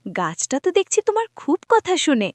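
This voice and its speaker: A woman's voice: high voice, wildly swooping pitch, a little fast, a little mellow, dry recording. A woman voicing a sweet, put-upon and dutiful young village girl in a cartoon, earnest and polite. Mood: amazed. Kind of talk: cartoon voice acting.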